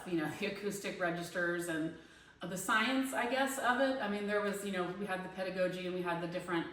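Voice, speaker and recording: A woman in her fifties with a bright voice, talking through her thoughts in a loose, rambling way full of "um" and "you know". She sits away from the mic in a very echoey room, so her voice sounds hollow and reflected. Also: mid-range voice, far from the mic. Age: 50s. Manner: conversational, lots of fillers and restarts, thinking aloud. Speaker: online voice pedagogy student and singing teacher. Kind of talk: casual spoken class discussion post.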